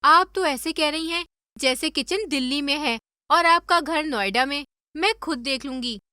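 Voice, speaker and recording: A cheeky, spoiled young cartoon wife acted by a woman, with a high, bright voice. She talks bluntly and with sass, recorded dry. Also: moderate pace, no room sound. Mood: sassy. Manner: sassy, blunt. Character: brash, cheeky rich young wife who drinks beer. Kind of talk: animated moral story dialogue.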